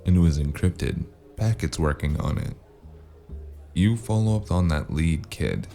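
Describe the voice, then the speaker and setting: A man playing a laconic, world-weary cyberpunk investigator in a noir audio drama, gravelly, terse and deadpan. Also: a little slow, faint music behind. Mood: dry, guarded. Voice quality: very deep, gravelly.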